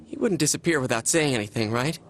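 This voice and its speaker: A man's voice: medium pitch, fast, fairly bright tone, slight background noise, close to an everyday voice. A man voicing an earnest, restless teenage boy in a video game dub, casual and questioning. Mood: worried.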